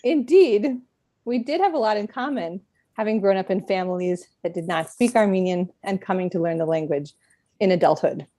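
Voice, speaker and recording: A woman in middle age, reading a prepared personal talk at a book event in a reflective, measured way with gentle self-deprecation. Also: mid-range voice, dry recording, studio quality. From United States.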